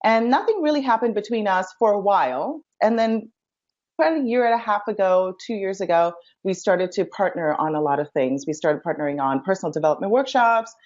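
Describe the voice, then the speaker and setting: A woman's voice: medium pitch, animated, a little fast, darkish tone. A woman from India, a life coach appearing as a guest, telling her story in a casual, enthusiastic way. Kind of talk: casual interview chat. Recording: dull lo-fi sound.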